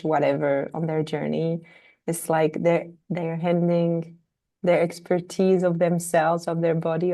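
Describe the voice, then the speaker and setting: A woman host with a mellow, warm tone and a rather flat, even pitch leads the conversation. She affirms her guest readily and often pauses to find her words. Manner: enthusiastic, affirming, searching for words. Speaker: podcast host, fertility coach. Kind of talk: conversational interview.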